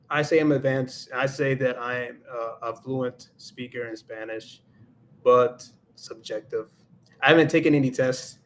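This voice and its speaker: A man's voice: mid-range voice, a little hiss or hum. A man hosting a casual livestream, thinking aloud in a reflective way and slipping into Spanish now and then. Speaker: livestream host and Spanish learner.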